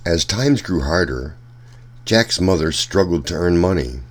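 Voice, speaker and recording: A man reading a children's storybook aloud in an expressive way, addressing young listeners as a storytime narrator. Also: deep voice, a little slow. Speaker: storytime reader.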